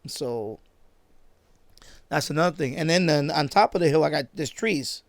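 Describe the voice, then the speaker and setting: A man chatting off the cuff on a live stream, halting and repeating himself as he circles back to his points. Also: a little high, no room sound. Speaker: live-stream host, radio hobbyist. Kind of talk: casual unscripted live-stream chat.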